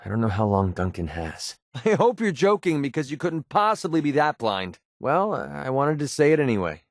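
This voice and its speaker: Game companion: a guarded young American gun-for-hire, wry and conversational, medium pitch, dry studio sound. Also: male voice, wildly swooping pitch, normal speed, no room sound. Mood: sincere, subdued. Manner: sardonic, conversational, hesitant asides. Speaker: video game companion character. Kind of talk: video game voice acting.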